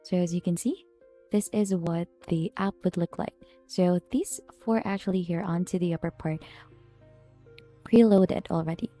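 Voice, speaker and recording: Female tutorial narrator, lowish and soft-edged, instructional step-by-step delivery, music underneath. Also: moderate pace. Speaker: software tutorial presenter. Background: background music.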